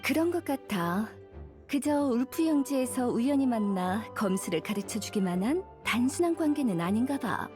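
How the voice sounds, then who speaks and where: A woman playing an elegant, languid witch librarian in an anime game, coy and unhurried, with a gently caring, teasing manner. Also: on the high side, animated, music in the background, close to an everyday voice. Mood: thoughtful. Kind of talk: Korean anime game voice acting.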